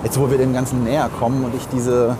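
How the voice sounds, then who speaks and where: A man's voice: medium pitch, level tone, fast. A man talking casually as a podcast co-host while walking through a city, opinionated and wordy, often rephrasing himself. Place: outdoors on city streets, walking. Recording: clear outdoor city and traffic noise. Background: street ambience, vehicles.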